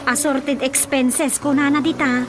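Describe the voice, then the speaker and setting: An actress playing a dutiful grown daughter in an Ilocano radio drama, deferential and matter-of-fact. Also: female voice, high-pitched, fairly even intonation, a little slow. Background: prominent background music.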